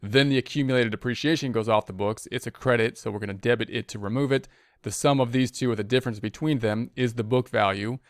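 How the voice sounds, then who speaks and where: A man's voice: mid-range voice, fast talker, dry recording, studio quality. A man giving an informal tutorial as an instructor, talking conversationally and thinking aloud with rhetorical questions. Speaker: accounting instructor. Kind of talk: informal screen-share tutorial explanation.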